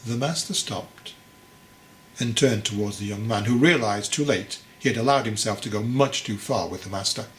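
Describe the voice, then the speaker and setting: A man reading his own book as an audiobook, in a deliberate, phrase-by-phrase narration. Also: fairly low pitch, roomy sound. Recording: clearly noisy recording.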